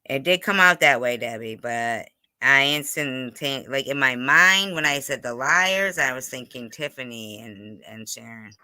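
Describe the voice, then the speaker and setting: A man's voice: mid-range voice, lively intonation, measured pace, bright, forceful tone, clear, resonant tone, dry recording. A man hosting a casual online gossip interview, speaking insistently and repeating phrases for emphasis. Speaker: host of an online interview show.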